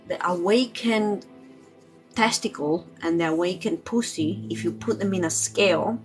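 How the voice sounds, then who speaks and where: A woman's voice: medium pitch, a bit bright, low-quality recording. A woman chatting casually on a spiritual livestream as a tarot reader, in an informal, rambling, giggly manner. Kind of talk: casual live spiritual chat. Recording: music playing behind the voice. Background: music.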